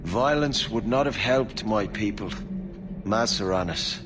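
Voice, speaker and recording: Game role: defiant elf man male actor, lowish voice, solemn, noisy capture. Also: a little slow, close to an everyday voice. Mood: grave. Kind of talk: video game voice acting.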